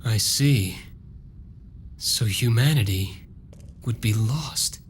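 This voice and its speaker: A man's voice: on the low side, slow, slight background noise. A man playing an earnest young vampire-hunting hero in a video game cutscene, with a dramatic delivery. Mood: questioning.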